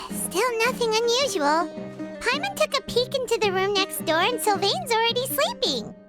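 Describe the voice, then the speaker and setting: A woman voicing a tiny, childlike floating companion creature in a video game, chatty and naive with a squeaky voice. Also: sing-song, unhurried, over loud music, heavily acted. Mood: puzzled, bored. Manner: speaks of herself in third person. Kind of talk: video game character acting.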